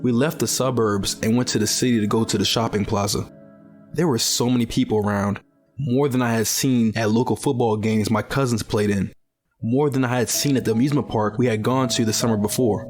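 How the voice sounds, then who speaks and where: Jaded, low-voiced young male survivor played by a man. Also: steady tone, fairly quick, over music, lightly acted. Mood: reflective. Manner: weary, skeptical. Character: jaded young man, cautious post-apocalyptic survivor and narrator. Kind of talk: audio drama narration and dialogue.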